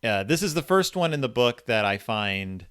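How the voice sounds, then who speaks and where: A man co-hosting a podcast and casually discussing a story, with halting phrasing and repeated words. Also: medium pitch, sing-song, fairly bright tone, smooth, no room sound, clean studio sound. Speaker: podcast host.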